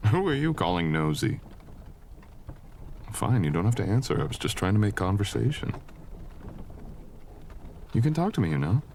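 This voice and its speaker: Male actor as mysterious fantasy wanderer, very low voice, soft-spoken, courteous and teasing, romantic ASMR roleplay, light ambient noise behind. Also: big pitch swings. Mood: teasing, friendly. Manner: soft-spoken, slow, courteous old-fashioned phrasing, chuckling.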